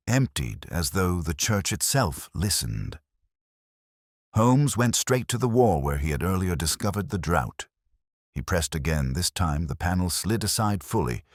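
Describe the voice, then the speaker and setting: Very deep-voiced man narrating a mystery. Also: dry recording, studio quality. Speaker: audiobook narrator. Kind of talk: scripted mystery story narration in first person.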